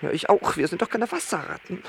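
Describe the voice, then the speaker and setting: A dry mocking castaway grumbler, high creaky voice, old radio drama. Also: male voice, big pitch swings, fast talker, fairly bright tone, slight background noise, heavily acted. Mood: grumbling. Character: sardonic, grumbling man stranded on a flooded rooftop. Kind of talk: radio play acting.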